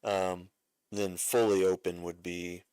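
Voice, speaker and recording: A man's voice: low voice, flat delivery, slow pace, a little mellow, clean tone, dry recording. A man giving a casual music arranging lesson, thinking aloud as he works, with frequent fillers and self-corrections.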